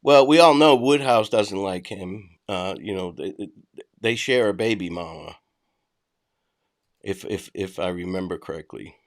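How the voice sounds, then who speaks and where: A middle-aged man with a Boston accent talks through a casual livestream, answering viewers' chat. His voice sits on the low side, and he speaks bluntly and conversationally, often restarting his sentences. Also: a little slow. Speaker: livestream host reading chat.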